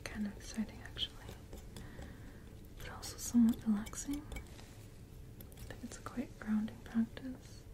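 Woman playing a whispering, gentle dental hygienist. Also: fairly high pitch, lightly acted. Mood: calm, musing. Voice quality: breathy, whispery. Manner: gentle, unhurried, reassuring. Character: gentle, attentive female dental hygienist at work. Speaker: ASMR roleplay performer. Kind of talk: soft-spoken ASMR personal-attention roleplay.

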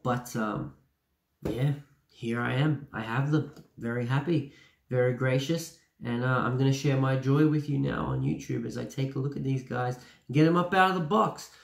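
A young man speaks with a stuffed-up, nasal voice, the sound of a head cold. His tone is gravelly and sits at an ordinary pitch. He chats in an eager, rambling way, full of ums, close to a camera in a small room at home. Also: normal speed. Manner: excited, chatty, many fillers. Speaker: toy reviewer. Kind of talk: casual review vlog.

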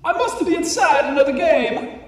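Male reader as alarmed video game cartoon character, very high pitched, exclamatory and dramatic. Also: lively intonation, moderate pace, very echoey, big-room sound. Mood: dismayed.

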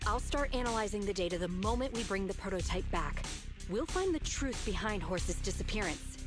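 A woman's voice: medium pitch, lively intonation, a little fast, close to an everyday voice. A woman voicing a composed, analytical young female engineer in an anime game, reassuring in manner. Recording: music behind the voice.

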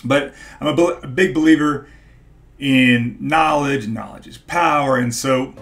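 A man in his forties with a stutter, talking casually to camera in a halting way, searching for his words. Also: medium pitch, fairly bright tone, a little hiss or hum. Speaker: YouTube host, stock investor. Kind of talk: casual vlog talk to camera. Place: small home office, indoors.

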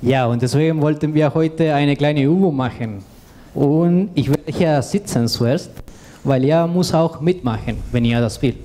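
A man's voice: a little high, warm tone. A man in his thirties from Chile, a native Spanish speaker with a Chilean accent, coaching an audience informally in simple, direct non-native phrasing. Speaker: co-presenter, exercise coach. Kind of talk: informal stage talk with guided exercise. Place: indoor event room with seated audience. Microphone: handheld microphone.

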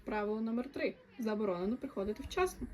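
A woman's voice: fairly high pitch, lively intonation, fast. A woman presenting a comic camp video, reading out numbered rules in a scripted announcing style. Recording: echoey, distant, loud crowd noise behind.

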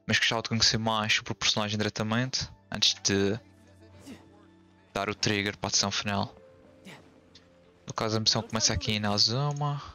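A man's voice: lowish voice, fairly even intonation, fast, long pauses, pushed and bright. A man casually reacting while playing a game. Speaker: streamer. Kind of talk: let's play commentary. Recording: game music behind.

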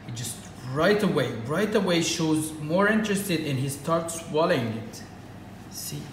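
An Egyptian man with an Arabic accent gives a casual, halting demonstration, with lots of fillers and restarts. His voice sits a bit high for a man. It is recorded on a phone in an echoey room with a faint hiss behind it. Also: animated. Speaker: reptile keeper. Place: indoors at a table.

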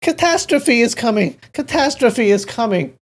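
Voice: medium pitch, steady tone, a little fast, a little mellow, close to an everyday voice. A narrator voicing an elderly man who is frightened and urgent, with a trembling voice.

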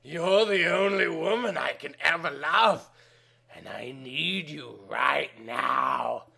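A man playing a lovesick male wolf creature in a story reading, drawn-out and melodramatic. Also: on the high side, vocal fry, pushed and bright, slightly rough, heavily acted. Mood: amorous.

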